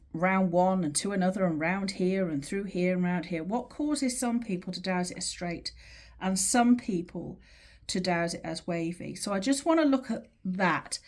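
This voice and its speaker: A woman teaching in an informal, explanatory way, with measured, emphatic phrasing and pauses as she talks through a drawing. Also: fairly low pitch. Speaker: teacher of earth energy dowsing.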